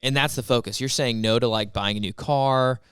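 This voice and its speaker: Male podcast co-host, mid pitch, resonant clear voice, dry booth sound, easygoing chatty manner. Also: steady tone, moderate pace, a bit bright, no echo. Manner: easygoing, agreeable, frequent "yeah" and "like". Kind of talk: casual chat.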